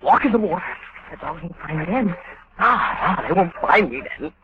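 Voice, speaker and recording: A high, trembling voice of a meek hunted man, stammering and begging in panic. It comes through a dull, muffled old radio recording with steady hiss underneath. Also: moderate pace. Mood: terrified. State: frightened, near tears. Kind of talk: 1940s radio acting.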